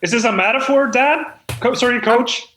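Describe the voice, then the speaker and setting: A man's voice: extremely high pitch, moderate pace, a bit bright, roomy sound, a little hiss or hum. A man with an earnest, flustered, hesitant manner, narrating stage directions and chatting comically at a script read. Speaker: screenwriter reading out his script at a comedy table read.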